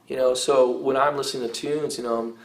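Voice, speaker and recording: A man's voice: mid-range voice, fairly even intonation, a little fast, low-quality recording. A middle-aged man who sings rock, talking in a casual interview, rambling with many fillers and restarts. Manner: rambling, heavy use of "you know" and "I mean", frequent restarts. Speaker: rock vocalist giving advice.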